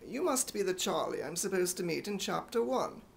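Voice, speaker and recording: A man voicing a suave, sly gentleman blackmailer with a mock-courteous manner and a put-on British accent, in radio comedy style. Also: sits high, sing-song, normal speed, vocal fry, noisy background, exaggerated voice. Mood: dry, suave. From United States. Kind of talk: 1950s radio comedy acting.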